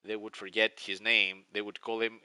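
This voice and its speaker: Greek man with a sharp, dry voice. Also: on the low side, level tone, normal speed, smooth, no echo. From Greece. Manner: rambling, nostalgic, restarts often. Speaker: live streamer. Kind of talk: casual live-stream chat.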